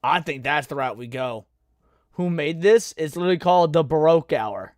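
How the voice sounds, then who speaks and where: A man with a slightly high voice hosts a stream in a very dry-sounding space. He comments casually and informally, like someone reacting as he watches. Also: lively intonation, moderate pace, a little mellow, no room sound. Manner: informal, swearing. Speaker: livestream host.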